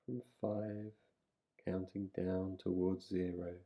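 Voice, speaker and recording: Very deep, slow-speaking male meditation guide. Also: little pitch movement, muffled recording. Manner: soothing, unhurried, with long pauses between short phrases. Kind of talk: guided relaxation meditation, yoga nidra.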